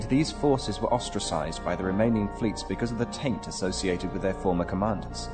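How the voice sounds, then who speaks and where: An actor playing a formal, courteous, shrewd commanding official who speaks deliberately in a sci-fi audio drama. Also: male voice, lowish voice, close to an everyday voice.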